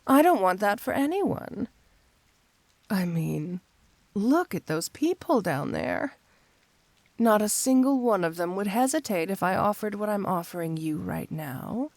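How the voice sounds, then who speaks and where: A woman playing a flirty, seductive cat-burglar supervillain in an intimate ASMR roleplay, coaxing and teasing in an unhurried way. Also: medium pitch, animated, darkish tone, no room sound. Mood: tender, earnest.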